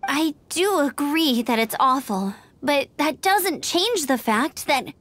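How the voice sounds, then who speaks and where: A woman's voice: sits high, lively intonation, slow, a bit bright, dry recording, heavily acted. A woman playing a spirited, dutiful teenage girl scout in an anime-style game, with a girlish voice and earnest, insistent manner. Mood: firm.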